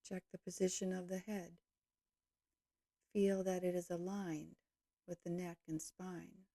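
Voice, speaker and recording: A woman leading a guided yoga nidra meditation, speaking calmly and gently in slow, measured short phrases. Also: lowish voice, no room sound, studio quality.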